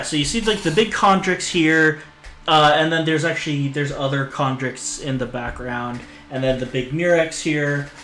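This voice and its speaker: A man's voice: fairly high pitch, a bit bright. A man talking casually on a stream, hesitant and full of fillers and restarts. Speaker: lore streamer. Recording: echoey room with faint noise.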